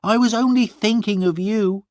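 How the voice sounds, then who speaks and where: Male reader as a defensive servant girl, pitched way up, dry close sound. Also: normal speed, darkish tone, dry recording, lightly acted. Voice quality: high, strained delivery. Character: young female servant, sulky and defensive.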